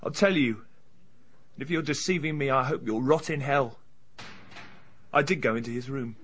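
A male actor as a surly British bully in a radio drama, his voice mid-pitched. He talks bluntly and colloquially, always on the defensive, with fear under the hot temper. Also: normal speed, a bit bright, natural voice. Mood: reluctant confession.

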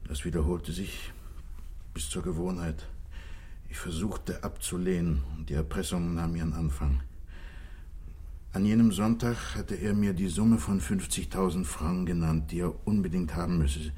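Desperate contrite fugitive, very low dark voice, husky, earnest pleading, old radio drama. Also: male voice, steady tone, moderate pace. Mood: anguished, confessing. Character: contrite, desperate wealthy fugitive confessing, husband and father. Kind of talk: 1960s German radio play acting.

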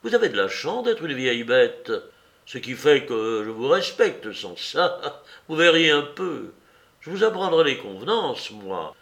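A man's voice: medium pitch, very animated, unhurried, a bit bright. A man reading aloud and voicing a young male clerk who speaks in a dry, offhand, dismissive way. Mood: scornful. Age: young adult.

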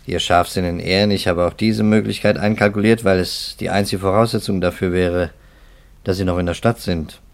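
A pragmatic police investigator in a 1950s radio play. His voice sits fairly low. He talks deliberately and matter-of-factly, with a guarded, slightly weary skepticism. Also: fairly even intonation, creaky. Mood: firm, pragmatic. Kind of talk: 1950s German radio drama acting. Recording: 1950s radio drama recording.

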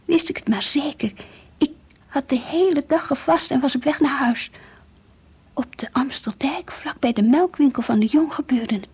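An actress playing an earnest, devout, brooding schoolgirl in a radio drama, confiding intensely in a husky, breathy voice. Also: high voice, normal speed, a little mellow, natural voice. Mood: hushed, awed. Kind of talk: radio drama acting with diary-like narration.

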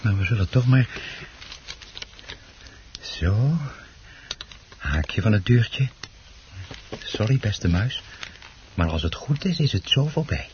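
An actor playing a stubborn, obsessive hobby gardener and exasperated husband in a radio play, with a defensive, insistent way of talking. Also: male voice, deep voice. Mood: eerily eager, uneasy. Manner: defensive, insistent, muttering to himself when alone. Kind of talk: Dutch radio play acting. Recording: dull, noisy old radio recording.

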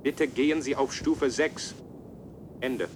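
An actor playing a brisk, official base camp radio operator making formal calls in a science-fiction radio play. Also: male voice, a little mellow, close to an everyday voice. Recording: noisy, rumbling field radio link.

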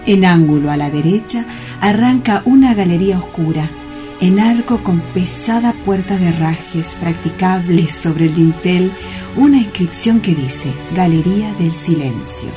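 A woman's voice: fairly low pitch, expressive, a little slow. A woman narrating a radio drama, reading scripted scene descriptions. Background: music.

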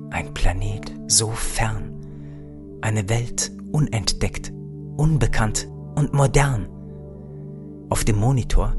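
An actor playing a dreamy, awestruck astronaut co-pilot in a radio play, with a lyrical, hushed delivery. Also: mid-range voice, natural voice. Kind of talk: radio play acting with poetic monologue. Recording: music behind the voice.

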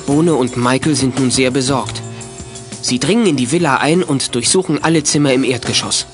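Guy narrating a kids audio drama, mid-pitched voice, music playing behind. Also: moderate pace. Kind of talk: scripted radio play narration.

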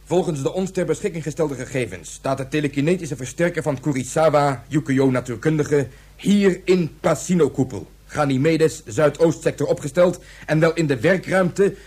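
A domineering colonel from a science fiction radio play. His voice is husky and fairly high for a man. He clips his sentences into hard, commanding orders. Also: moderate pace, a little mellow. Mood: stern, demanding. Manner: barking, commanding, clipped orders. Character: curt, domineering military colonel in space invasion.

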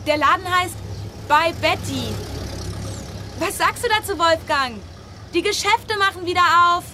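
A woman's voice: very high voice, measured pace, background noise. An actress playing a chatty, eager young wife in a postwar radio drama, playful and prodding as she talks.

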